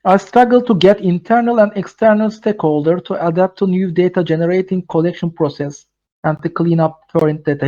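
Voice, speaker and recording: Turkish-accented man with a high voice. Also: warm tone. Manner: halting non-native English with many restarts and fillers. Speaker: webinar speaker. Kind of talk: webinar Q&A answer. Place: London. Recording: dull lo-fi call audio.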